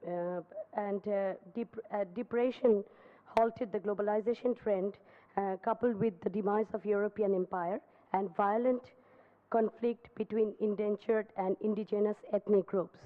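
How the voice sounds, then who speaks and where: A hoarse woman who has nearly lost her voice, formally presenting an academic paper at a conference with careful, hesitant phrasing. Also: mid-range voice. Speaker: conference speaker. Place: university lecture hall. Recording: phone-like narrow sound.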